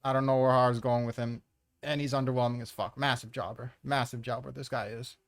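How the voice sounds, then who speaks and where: A man's voice: mid-range voice, fairly even intonation, brisk. A man giving casual, opinionated countdown commentary as host, blunt and exasperated, swearing freely as he rants. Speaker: host of a manga ranking commentary.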